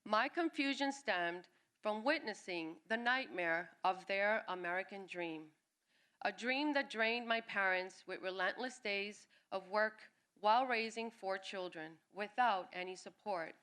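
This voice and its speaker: Woman reading her own story live, clear bright tone at a middle pitch, expressive phrasing. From born in Cuba, raised in New York City. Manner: expressive reading, briefly acting out quoted family members. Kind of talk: reading a personal essay at a live storytelling event. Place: live reading at a music venue.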